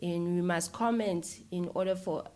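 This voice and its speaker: A South African woman speaks as a guest in an interview. Her voice sits fairly low for a woman, and she talks in a casual, conversational way. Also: steady tone, normal speed, darkish tone. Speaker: interview guest, filmmaker and YouTuber.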